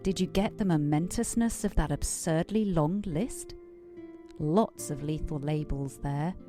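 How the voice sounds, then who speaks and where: Woman acting a sly lascivious devil, lowish mellow voice, wordy, theatrical, playful audio drama narration. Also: measured pace, faint music behind. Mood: sly, amused.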